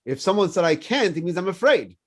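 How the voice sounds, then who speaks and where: A man in his fifties whose first language is French gives a morning class. His voice rides a little high and swings up and down, and he works through personal stories and questions he asks back to his listeners. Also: a little fast. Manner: animated teaching with personal stories and repeated questions. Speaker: rabbi.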